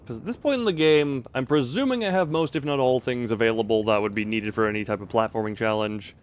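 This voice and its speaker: A man's voice: mid-range voice, fast talker, smooth. A man giving casual live gameplay commentary as a streamer, musing and hesitating as he thinks aloud. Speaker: game streamer.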